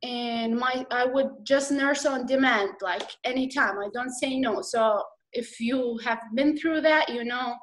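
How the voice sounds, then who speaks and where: A woman's voice: fairly high pitch, measured pace, few pauses, fairly bright tone, a little hiss or hum, rough recording. A woman with an Iraqi accent telling her personal story as a guest on a video call, hesitant and slightly nervous, with many fillers. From Iraq.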